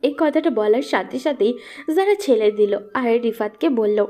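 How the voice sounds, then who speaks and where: A woman's voice: fairly high pitch, animated, normal speed, warm tone. A woman narrating a scripted romantic story as the storyteller.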